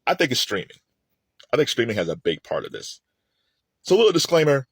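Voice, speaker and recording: A man's voice: medium pitch, very animated, brisk, husky, no room sound, studio quality. A middle-aged man giving casual, opinionated music commentary in a conversational way, repeating phrases for emphasis.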